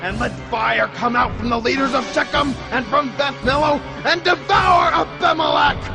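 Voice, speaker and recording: An embittered biblical survivor pronouncing a curse in an audio drama. His voice is extremely high and gravelly, impassioned and declamatory, over music. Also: normal speed, raised voice. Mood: bitter cursing. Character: Jotham, embittered male survivor crying out a curse.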